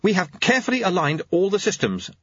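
A man's voice: high voice, very animated, fast talker, fairly bright tone, breathy edge, no room sound, dull audio, voice pushed up, heavily acted. A man voicing a diffident, earnest young clockmaker, anxious and hesitant in his speech, in an audiobook. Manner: hesitant, stammering.

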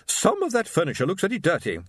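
A man voicing a haughty, demanding middle-aged wife in a storybook reading, in a raised, shrill voice. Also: huge pitch swings, quick pace, fairly bright tone, slightly rough, dry recording, heavily acted.

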